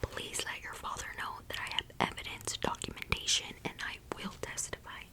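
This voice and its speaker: A woman's voice: normal speed, bright, forceful tone. A woman playing a prim, bossy, nerdy student council president in an ASMR roleplay, formal and exasperated. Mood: officious.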